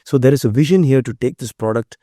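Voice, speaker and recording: Earnest Indian man, mellow voice. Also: mid-range voice, quick pace, dry recording, clean studio sound. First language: Tamil. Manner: earnest, emphatic, speech-like. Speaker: biotech company CEO, guest. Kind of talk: conversational interview.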